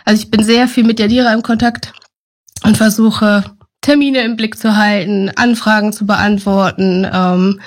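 A woman's voice: medium pitch, level tone, normal speed. Woman, chatty podcast guest, loose and friendly pacing. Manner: chatty, many fillers, light laughs. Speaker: ministry team member. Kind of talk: podcast interview.

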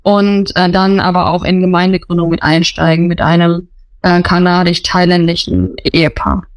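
A woman's voice: lowish voice, fairly even intonation, normal speed, no room sound. Earnest woman, searching for words. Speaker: missionary interviewee. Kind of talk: casual interview talk.

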